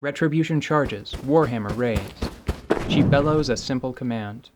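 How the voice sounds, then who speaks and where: A man's voice: mid-range voice, unhurried, very noisy. A man reading scripted narration for a tabletop fantasy audio drama.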